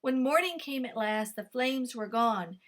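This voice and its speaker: A woman librarian reading a picture book aloud to children, with lively, expressive storytelling. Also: a little high, a little slow.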